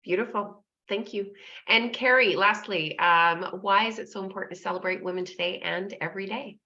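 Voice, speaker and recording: A woman's voice: mid-range voice, moderate pace, sharp tone. A woman moderating a panel, gracious and brief with her thanks. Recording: dull lo-fi audio.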